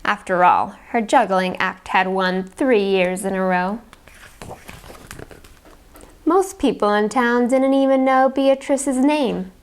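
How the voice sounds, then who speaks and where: A woman narrating a children's story aloud in an expressive, carefully enunciated way. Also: medium pitch, slow pace, smooth. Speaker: storybook reader.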